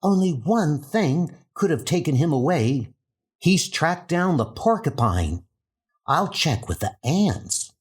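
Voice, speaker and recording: A man's voice: on the high side, sing-song, takes it slowly, husky, pitched above the performer's own voice, exaggerated voice. A man voicing a worried, determined young heroine in a comic book dub.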